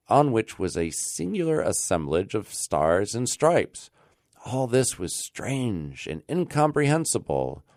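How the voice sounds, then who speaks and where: Deep-voiced American man narrating a classic tale. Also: a little mellow, dry recording, clean studio sound. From United States. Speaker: storyteller.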